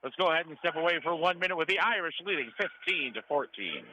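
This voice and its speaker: A man's voice: on the high side, lively intonation, fast, pushed and bright, muffled recording. A man calling live volleyball play-by-play for radio in clipped short calls that rise on big plays. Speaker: sports play-by-play announcer. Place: school gymnasium. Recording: noisy live gym broadcast. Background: gym ambience, cheering student sections.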